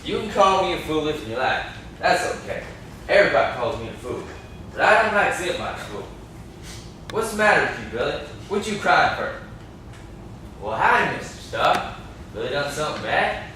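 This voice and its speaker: A man's voice: a little high, very animated, normal speed, long pauses, bright, forceful tone. A young man playing a simple, chatty country neighbour in an amateur stage play, with a naive, inquisitive, folksy manner. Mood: earnest. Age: young adult. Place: classroom-like room, indoors. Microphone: far camera. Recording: echoey room, distant camera mic, faint noise.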